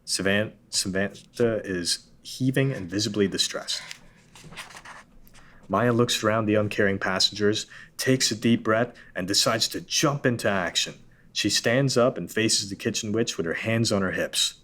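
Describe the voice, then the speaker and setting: Deep-voiced man reading stage directions. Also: unhurried, a bit bright. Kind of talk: script table read.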